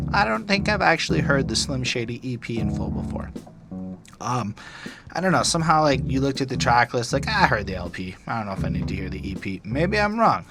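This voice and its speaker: A man co-hosting a music podcast, talking casually and analytically in a rambling, opinionated way. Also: mid-range voice. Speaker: podcast co-host reviewing music. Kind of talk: casual opinionated album discussion. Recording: music playing behind the voice.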